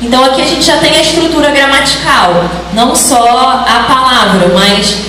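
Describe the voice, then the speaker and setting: A woman's voice: high-pitched, normal speed, bright, forceful tone, slight background noise. A woman giving an academic talk to an audience, explaining patiently and often checking in with her listeners. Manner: explanatory, with frequent "né?" tags. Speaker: teacher presenting a research project. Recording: echoey hall, mic far off.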